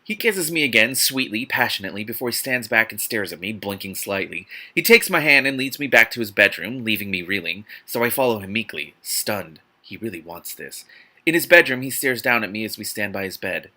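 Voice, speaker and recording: A man hosting a dramatic book reading, animated and theatrical, breaking off into exasperated, incredulous, sarcastic commentary. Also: lowish voice, brisk. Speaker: host reading a novel aloud with commentary.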